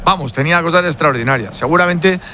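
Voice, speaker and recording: A man from Spain, a former player, co-commentating on football in a halting way, searching for words as he talks. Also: a little high. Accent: Spanish from Spain. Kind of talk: football analysis chat.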